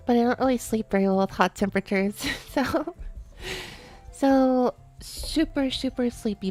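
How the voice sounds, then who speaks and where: Soft-voiced sleepy woman streamer. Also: a little high. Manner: soft, quiet, drowsy talk with fillers. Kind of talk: sleepy late-night chat stream.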